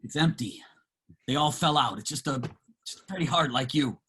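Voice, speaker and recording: A husky-voiced man acted by a man at a table reading, down on his luck and hopelessly in love. He speaks plainly and haltingly, repeating himself as he earnestly pleads his case. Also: mid-range voice, a bit bright. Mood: earnest pleading. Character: lovestruck, pleading down-and-out man, plain-spoken war veteran.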